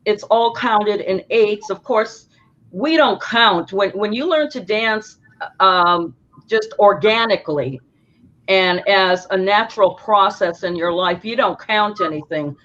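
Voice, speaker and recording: A woman's voice: mid-range voice, slow pace, a bit bright. A woman who teaches dance, telling stories with animation as an interview guest. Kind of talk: casual interview talk. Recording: dull lo-fi sound.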